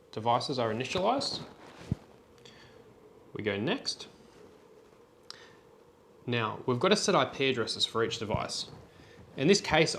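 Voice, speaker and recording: A man presenting an unscripted software tutorial in a plain, step-by-step instructional way. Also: mid-range voice, slight background noise.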